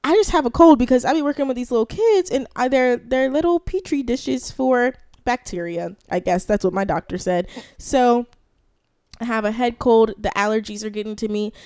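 A woman's voice: on the high side, moderate pace, darkish tone, smooth, no echo, clean studio sound. A young woman co-hosting a casual podcast chat, talking through a head cold and laughing often. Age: young adult. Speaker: podcast co-host.

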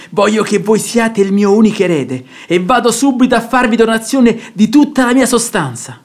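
An actor playing a gullible, stubborn, hot-tempered rich nobleman in a radio drama, with an emphatic, impulsive delivery. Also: high-pitched. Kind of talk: theatrical Italian radio drama acting.

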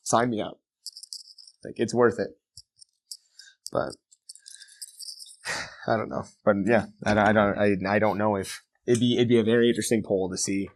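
Low-voiced young man, casual podcast chat. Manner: animated, laughing, swears freely. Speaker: podcast host.

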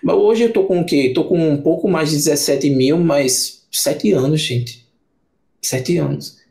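A man's voice: on the high side, measured pace, gravelly, a little mellow. Young man. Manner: rambling, many restarts and fillers. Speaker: book channel creator, podcast guest. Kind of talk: casual podcast conversation.

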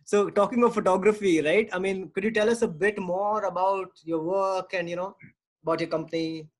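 An Indian-accented man with a noticeably high voice hosts a podcast interview. He talks in a chatty, informal way, as in an easy conversation between acquaintances. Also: steady tone, a little fast.

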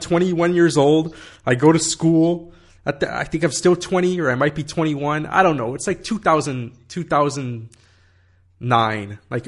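Man, thirties, fairly high-pitched, casual rambler, lots of fillers. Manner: rambling, many fillers and swearing, laughs often. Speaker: guest telling a story. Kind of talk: casual storytelling in conversation.

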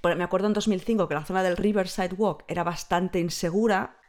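A woman's voice: on the low side, steady tone, brisk, a little mellow. A woman in her thirties chatting as a podcast guest, explaining things fluently in long stretches. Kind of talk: casual conversation.

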